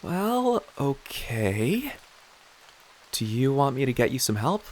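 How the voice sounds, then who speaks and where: An actor playing a timid, puzzled bullied kid in an audio drama, hesitant and grudging. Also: male voice, mid-range voice, big pitch swings, slow pace. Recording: hissy rain noise behind.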